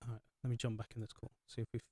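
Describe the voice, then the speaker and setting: A man's voice: mid-range voice, completely flat delivery, rapid-fire, mellow, no echo. Chatty man hosting a gaming podcast. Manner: chatty, apologetic, rambling with fillers. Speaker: podcast host. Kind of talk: casual podcast chat.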